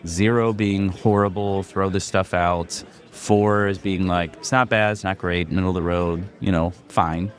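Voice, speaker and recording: A man's voice: sits low, steady tone, a little slow, hardly any pauses. A man hosting a podcast in loose, rambling casual chat, full of "like" fillers. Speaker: podcast host.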